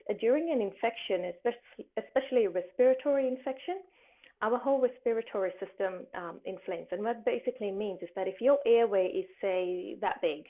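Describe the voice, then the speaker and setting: A woman giving casual wellness advice, chatty and rambling with many fillers, her voice a little croaky from talking all day. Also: mid-range voice. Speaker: wellness presenter. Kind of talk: casual health talk. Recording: phone-like narrow sound.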